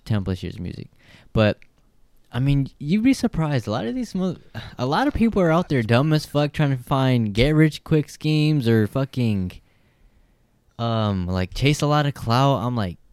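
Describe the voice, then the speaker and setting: A man's voice: medium pitch, expressive, moderate pace, a little mellow, clean tone, dry recording. A young man co-hosting a podcast, talking bluntly and with emphasis, swearing often. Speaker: podcast co-host. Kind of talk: casual podcast chat.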